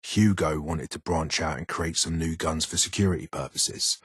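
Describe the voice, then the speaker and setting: An actor playing a gruff, irritable older ghoul weapons engineer in a video game, with a British accent, a gravelly rasp and a curt, guarded manner. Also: male voice, a bass voice, fairly even intonation, normal speed, no echo, studio quality, full character voice. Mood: gruff, reminiscing. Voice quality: raspy, gravelly. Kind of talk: video game character dialogue.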